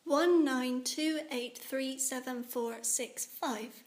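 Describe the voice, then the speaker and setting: A woman's voice: high-pitched, takes it slowly. A woman voicing a persistent, upbeat young girl sea creature in a children's audiobook, with a light, casual delivery. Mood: curious, eager. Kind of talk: children's audiobook character acting.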